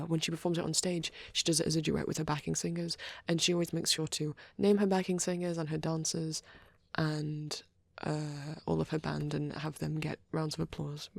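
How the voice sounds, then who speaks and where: Woman playing a low, husky, shy vampire boy. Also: darkish tone, dry recording, exaggerated voice. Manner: hesitant, stammering, rambling. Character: shy, nervous young male vampire on a date. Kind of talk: soft-spoken ASMR roleplay.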